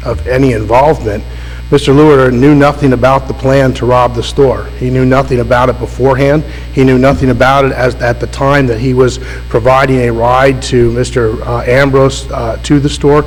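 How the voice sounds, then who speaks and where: A man with a cold, serving as defense attorney and arguing an appeal in formal, deliberate speech that sometimes stalls under questioning. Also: mid-range voice. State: has a cold. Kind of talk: appellate oral argument. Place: courtroom. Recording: roomy courtroom with faint mains hum.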